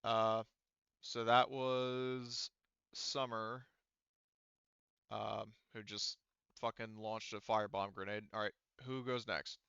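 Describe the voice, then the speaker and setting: Male game master, crisp forceful voice, casual and sweary, tabletop session, dry slightly muffled audio. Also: fairly low pitch, level tone, takes it slowly, pauses often, no echo. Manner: casual, profane, talking through dice rules. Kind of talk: running a tabletop roleplaying game.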